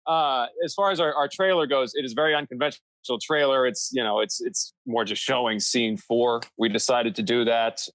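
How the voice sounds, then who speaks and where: A man's voice: mid-range voice, fairly even intonation, fairly quick, few pauses, a bit bright. A man talking casually as a podcast guest, thinking aloud in a meandering, hesitant and modest way. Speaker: podcast guest, audio drama creator.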